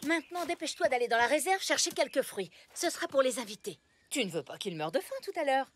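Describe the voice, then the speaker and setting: A woman's voice: high-pitched, animated, fast talker. A woman playing a protective, firm mother in a cartoon, with a motherly, scolding manner. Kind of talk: French cartoon dub acting.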